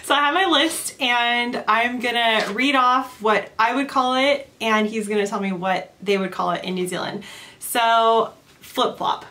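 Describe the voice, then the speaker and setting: A woman's voice: on the high side, unhurried, bright, forceful tone. A woman in her thirties from Southern California with a Californian accent, hosting a casual chat and asking curious, giggly questions. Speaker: host.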